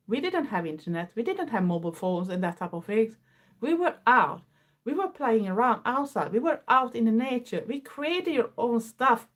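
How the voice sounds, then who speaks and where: A Swedish woman in her fifties with a Swedish accent, chatting earnestly to her viewers in English with small grammar slips. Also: fairly high pitch. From Sweden. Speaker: livestream host. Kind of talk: casual chat.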